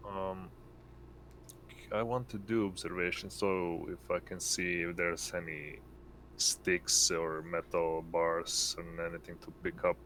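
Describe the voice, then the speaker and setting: A man with a low voice speaks as a player in a tabletop game. His talk is casual and practical, coming out in halting pieces, with faint noise behind it. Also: level tone, fairly bright tone.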